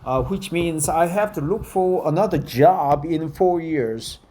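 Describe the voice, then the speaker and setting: A man's voice: on the high side, measured pace, mellow. A Korean man in his fifties speaking English as a learner, with many fillers and careful non-native phrasing as he talks and then reads an article aloud. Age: 50s. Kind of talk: casual spoken practice, then slow reading aloud.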